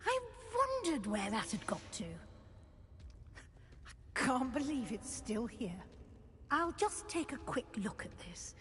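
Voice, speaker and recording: In a reverberant hall, a kindly witch professor speaks briskly. Her voice is high and very animated, with faint noise behind it, in a video game style. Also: heavily acted. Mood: wistful, curious. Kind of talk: video game character acting.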